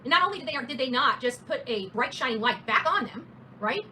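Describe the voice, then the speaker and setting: A woman hosts a casual true-crime livestream with a fairly high, quick voice. She is blunt and opinionated, leaning emphatically on her points. There is faint noise behind her. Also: animated, pushed and bright.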